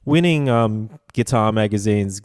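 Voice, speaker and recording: A man in his forties hosting a podcast interview, enthusiastic and halting, full of stumbles and "like". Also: lowish voice, a little mellow, smooth, no echo, studio quality. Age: 40s. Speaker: podcast host. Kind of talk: casual interview chat.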